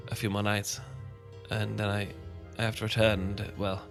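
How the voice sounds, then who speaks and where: A man with an English accent playing a charming, shy young gentleman vampire in an ASMR romance roleplay, polite and old-fashioned, often stumbling over his words. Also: on the low side, little pitch movement, moderate pace, vocal fry, over music. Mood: shy, courteous. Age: young adult.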